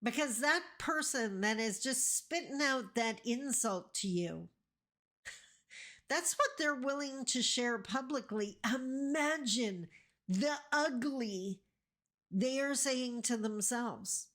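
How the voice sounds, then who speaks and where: A middle-aged woman from Northern Ontario, getting over a cold, talking straight to the viewer in a warm, enthusiastic way. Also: fairly high pitch, expressive, slow. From Northern Ontario, Canada. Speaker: vlogger, host of her channel. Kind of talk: casual direct-to-camera talk.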